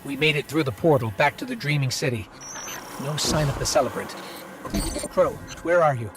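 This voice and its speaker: An actor playing a resolute, authoritative field leader in a video game, brisk and commanding. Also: male voice, medium pitch, noisy background, lightly acted. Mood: alert, businesslike. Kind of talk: video game dramatic dialogue.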